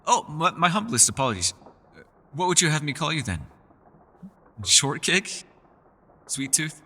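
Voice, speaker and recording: A man's voice: a little high, sing-song, measured pace, bright, forceful tone, in a large hall, exaggerated voice. A man playing a mysterious, scholarly elf in a fantasy roleplay, with a refined, theatrical and teasing manner. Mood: amused, teasing. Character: mysterious, scholarly male elf, playful yet guarded. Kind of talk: fantasy ASMR roleplay, scripted character acting.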